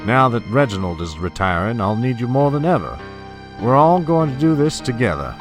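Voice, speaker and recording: An actor playing a scheming, ageing oil tycoon and patriarch in an audio drama, commanding and patronizing. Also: male voice, mid-range voice, very animated, normal speed, faint music behind, natural voice. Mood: smug, commanding.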